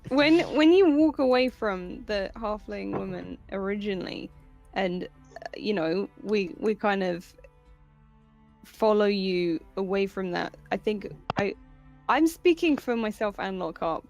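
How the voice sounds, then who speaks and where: A woman playing a tabletop game, chatting halfway in character with a vague put-on European accent, halting and giggly. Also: medium pitch, darkish tone. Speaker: player. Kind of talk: tabletop roleplay, half in character.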